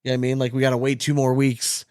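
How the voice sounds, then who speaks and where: A man's voice: mid-range voice, completely flat delivery, very fast, darkish tone, clean tone, no echo, studio quality. A man hosting a casual sports podcast, chatting in a blunt, sweary, slangy way. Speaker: podcast host.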